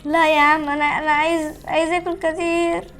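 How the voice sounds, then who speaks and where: A woman's voice: sits high, flat delivery, brisk, a bit bright, faint music behind. A woman with an Egyptian Arabic accent hosting casual, bantering gameplay in a whiny, pleading, competitive way. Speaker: gaming channel host.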